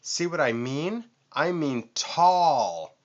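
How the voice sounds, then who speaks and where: A man voicing a vain, boastful storybook vulture with a squawky, screechy voice and theatrical, drawn-out emphasis. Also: a little high, big pitch swings, dull audio, pitched above the performer's own voice, full character voice. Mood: boastful, smug.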